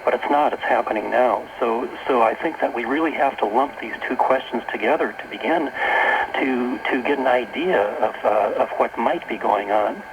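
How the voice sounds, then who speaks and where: An American man speaking as a radio interview guest, explaining haltingly and rephrasing as he goes, on a noisy shortwave tape. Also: mid-range voice, dull audio. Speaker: talk show guest, author and workshop leader. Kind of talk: unscripted radio interview talk.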